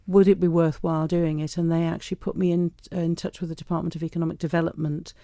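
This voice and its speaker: A woman's voice: fairly low pitch, little pitch movement, fast talker, mellow, no echo. A middle-aged woman with a big voice, chatting anecdotally as a studio interview guest. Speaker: studio guest, self-employed tour guide. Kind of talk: conversational interview. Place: radio studio.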